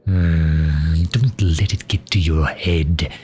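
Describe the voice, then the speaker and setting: Man voicing very deep, sardonic mage advisor. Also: steady tone, mellow, breathy edge, full character voice. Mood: guarded, dry. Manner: dry, sardonic, drawn-out delivery with sighs. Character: loyal, sharp-tongued male mage advisor to a demon ruler. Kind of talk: ASMR roleplay audio drama.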